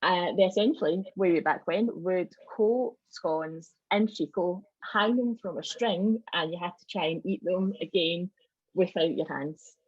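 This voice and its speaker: A woman's voice: lowish voice, measured pace, no room sound. A woman with a Scottish accent giving an informal, wry presentation on folk traditions over a video call. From Scotland. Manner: wry, joking asides. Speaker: club member giving a presentation. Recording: slightly dull video-call audio.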